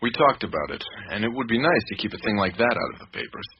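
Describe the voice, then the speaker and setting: A man's voice: fairly low pitch, big pitch swings, fast talker, breathy edge, heavily acted. An actor playing a guarded, matter-of-fact male witness in a radio drama, talking in an emphatic, down-to-earth way. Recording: muffled radio recording with clicks and hiss.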